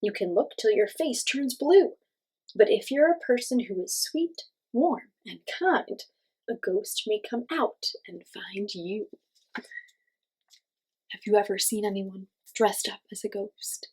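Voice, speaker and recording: A woman with a medium-pitched voice that has a little huskiness to it reads aloud for young children. She stresses words expressively and talks straight to her listeners, in the simple, explanatory way of a teacher hosting storytime. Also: slow pace, a little mellow, slightly rough, low-quality recording.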